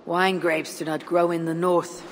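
An actress playing a calm, direct Norse warrior woman in a video game cutscene. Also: on the low side, a little slow, big-room sound, noisy background, close to an everyday voice. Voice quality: plain, direct.

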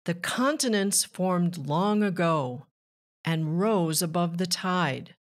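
A woman reading a rhyming children's book aloud with measured, deliberate phrasing. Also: on the low side. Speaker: children's author and narrator.

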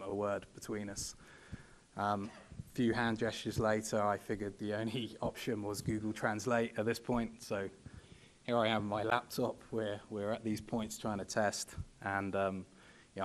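A young man speaking from a podium at a conference panel, measured and full of ums and you-knows. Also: on the low side, a little hiss or hum. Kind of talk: presentation at a podium. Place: large conference hall. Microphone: podium microphone.

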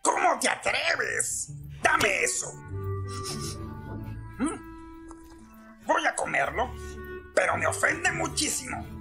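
A performer voicing a small, grumpy furry forest guardian in an animated fan dub, pompous and indignant, with a Latin American accent. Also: high voice, slow pace, long pauses, over music, heavily acted. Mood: indignant, threatening.

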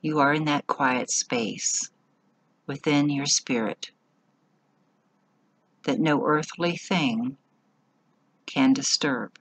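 Female meditation guide, slow and husky, measured instructional phrasing with generous pauses. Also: very deep voice, fairly bright tone.

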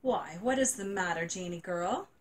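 Woman doing a kindly aunt, gentle, soothing, softer and lower, storybook reading. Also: animated, normal speed, lightly acted.

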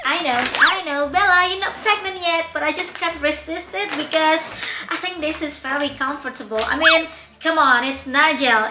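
A woman's voice: high-pitched, reverberant, noisy background. A young woman vlogger unboxing baby products, bubbly and giggly as she gushes over each one. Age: young adult. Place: bright indoor room.

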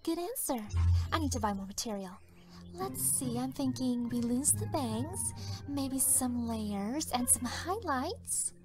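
A woman's voice: high voice, big pitch swings, measured pace, music in the background. A woman playing a vain, fashion-minded teenage girl in a fan dub, breezy and dramatic. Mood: chirpy. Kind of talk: amateur fandub acting.

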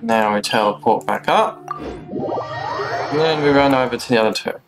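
A man's voice: medium pitch, a little slow. A young man casually commentating on his own gameplay, talking to himself in a playful, mock-polite way. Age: young adult. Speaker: game streamer. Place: small room indoors at home. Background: game music.

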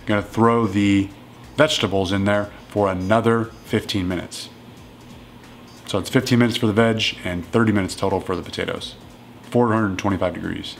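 A man in his thirties casually walking through home meals in his kitchen, relaxed and matter-of-fact. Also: lowish voice, creaky. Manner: relaxed and matter-of-fact, plain step-by-step talk. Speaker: strength coach cooking at home. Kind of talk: casual cooking walkthrough.

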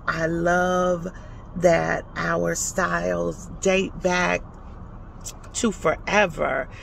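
A woman's voice: deep voice, vocal fry, a little hiss or hum. A middle-aged woman talking into her phone in a parked car, speaking in a passionate, lyrical monologue that stretches out words for emphasis. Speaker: vlogger. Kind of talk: heartfelt spoken monologue. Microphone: phone at arm's length.

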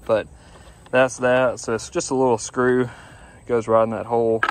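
A man's voice: mid-range voice, level tone, moderate pace, background noise. Young guy chatting to his phone out in the yard, laid back, lots of uh and you know. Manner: easygoing, rambling, full of fillers. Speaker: lawn care business owner. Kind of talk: casual vlog talk to camera. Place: outdoors in a grassy yard. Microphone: phone camera at arm's length.

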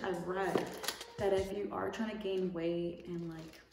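A woman's voice: on the low side, level tone, measured pace, gravelly, background noise. A young woman chatting casually and informally to camera as a lifestyle YouTuber, rambling a little through a product haul. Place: indoor room. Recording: roomy echo, distant mic, music behind.

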